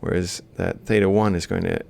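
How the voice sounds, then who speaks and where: A man's voice: deep voice, little pitch movement, a little fast, vocal fry, dark tone. A man lecturing informally, explaining a worked example step by step as he writes. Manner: explains step by step while writing. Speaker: lecturer in robotics.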